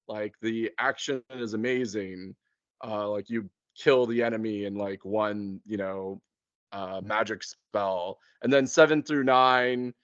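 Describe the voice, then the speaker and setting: A man with a mid-pitched voice talks through tabletop game master plans in a loose, conversational way. He feels his way through explanations, often hesitating. The audio is close and dry, with a dull, lo-fi muffle. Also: clear, resonant tone, no room sound. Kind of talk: casual chat.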